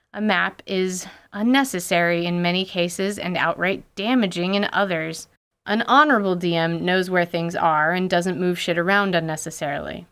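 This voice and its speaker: A woman with a smooth, clear voice hosts a podcast in a storytelling style. Her pitch sits in the middle for a woman, and she delivers scripted narration with wry, casual asides and the odd swear word. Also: fairly bright tone. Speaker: podcast host.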